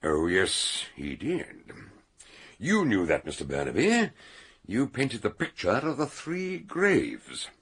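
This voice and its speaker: An actor playing a shrewd, genial older British detective in a radio mystery, questioning courteously and narrating the case. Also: male voice, on the low side, wildly swooping pitch, slow pace, a bit bright, husky, full character voice. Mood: probing.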